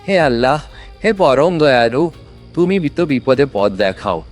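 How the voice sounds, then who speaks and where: A man playing a pious, shrewd, kindly old man in a dramatic cartoon story, speaking in a deliberate, theatrical way. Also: mid-range voice, very animated, soft background music, natural voice. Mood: anxious, praying. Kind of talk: dramatic animated moral story.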